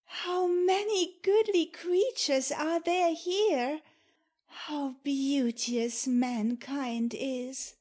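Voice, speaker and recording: A woman's voice: high-pitched, steady tone, very slow, a little mellow. A woman playing an innocent, loving young maiden full of wonder in a dramatic Shakespeare audiobook, tender and wide-eyed. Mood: awed wonder.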